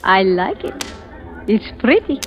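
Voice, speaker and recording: A woman's voice: medium pitch, sing-song, takes it slowly, dull audio, soft background music. An actress playing a flirtatious, curious woman in a nightspot, in 1940s radio drama style. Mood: coy, playful.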